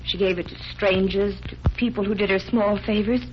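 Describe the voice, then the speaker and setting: A woman's voice: medium pitch, fairly even intonation, a little fast, faint music behind, natural voice. A woman playing a frightened, earnest young heiress, pleading in emotional 1940s radio drama style. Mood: subdued, earnest. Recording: muffled, noisy 1940s radio recording.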